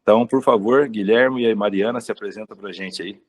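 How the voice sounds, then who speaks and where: A man's voice: on the low side, a little fast. A man hosting a webinar, speaking loosely with many fillers as he introduces the guests and asks questions. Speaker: webinar host.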